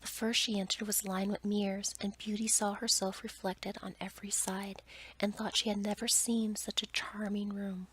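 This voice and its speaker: A woman storyteller reading a fairy tale as ASMR in a soft, breathy whisper. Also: medium pitch, little pitch movement, normal speed, a little hiss or hum.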